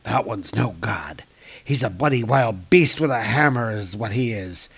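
A man voicing a coarse, cowardly and blustering dark elf soldier from a comic book. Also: lowish voice, lively intonation, measured pace, husky, full character voice. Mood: gruff alarm. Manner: blustering, exclamatory, insult-laden.

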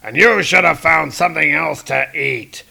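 A man voicing a proud, heroic male thunder god from a comic, in a gravelly voice. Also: a little high, normal speed, pushed and bright, pitched above the performer's own voice, exaggerated voice. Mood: fierce.

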